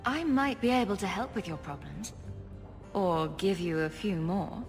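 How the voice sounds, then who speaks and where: A woman's voice: mid-range voice, animated, a little slow. An actress playing a witty, self-assured mage adventurer in a video game, teasing and dryly playful in her delivery. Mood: teasing, supportive. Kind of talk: dramatic video game acting. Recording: long reverb, music behind.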